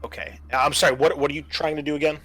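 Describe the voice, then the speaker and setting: Bright-voiced man running a tabletop game. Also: fairly high pitch, level tone, racing, slightly rough, poor audio. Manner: explanatory, conversational. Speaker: game master. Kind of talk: tabletop game narration and rules talk. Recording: faint background noise.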